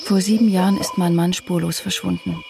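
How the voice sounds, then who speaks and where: A creaky-voiced, troubled wife. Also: female voice, on the low side, fairly even intonation, a little fast, background noise. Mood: pensive narration. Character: troubled, mistrustful wife and mother. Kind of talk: naturalistic German radio drama acting.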